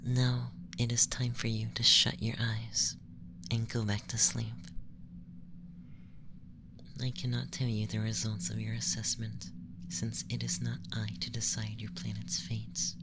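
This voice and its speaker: A performer voicing an aloof, teasing alien femboy interrogator in a slow, deliberate, soft ASMR whisper. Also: mid-range voice, completely flat delivery, background noise, lightly acted. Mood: calm, soothing.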